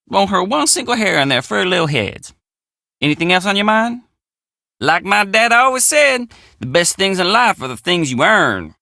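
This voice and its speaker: A man's voice: sits high, creaky, pushed and bright, no room sound, studio quality, heavily acted. An actor playing a friendly, plainspoken young country handyman with an American drawl in a video game. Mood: upbeat, eager. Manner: folksy, plainspoken country phrasing. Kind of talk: video game character dialogue.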